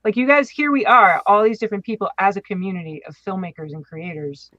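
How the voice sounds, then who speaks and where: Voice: mid-range voice, quick pace, sharp tone, no room sound. Dull lo-fi recording, effusive chatty speaker. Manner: effusive, enthusiastic. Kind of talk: casual chat. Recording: dull, lo-fi audio.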